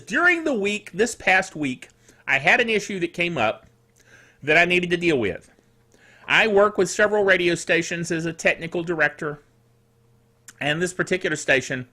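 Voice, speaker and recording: A man hosting a casual tech news show in a chatty, jokey, digressive way, with a throat that is getting rough. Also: sits high, pushed and bright. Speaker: technical director for radio stations. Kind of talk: casual scripted tech news commentary with asides.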